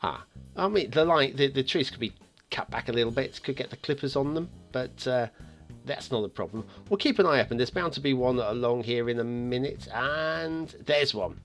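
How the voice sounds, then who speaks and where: A man's voice: mid-range voice, normal speed, vocal fry, fairly bright tone, soft background music. A man hosting a game map review in a chatty, playfully teasing way, commenting casually and off the cuff.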